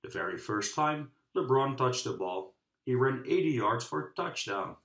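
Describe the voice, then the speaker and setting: Animated man reading a children's book, roomy sound. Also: medium pitch, moderate pace. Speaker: storybook reader. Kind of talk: children's book read-aloud narration.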